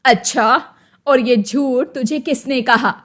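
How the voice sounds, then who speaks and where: An elderly village mother from a cartoon moral tale, played by a woman. Her voice is on the high side and full of exasperation. She scolds and laments dramatically, an anxious parent worn out by her son. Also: slow. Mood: scolding. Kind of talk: cartoon moral story voice acting.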